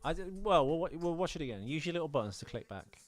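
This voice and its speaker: A man's voice: fairly high pitch, expressive, fast, soft background music. A man co-hosting a film podcast in casual banter, with a dry, joking, pedantic manner.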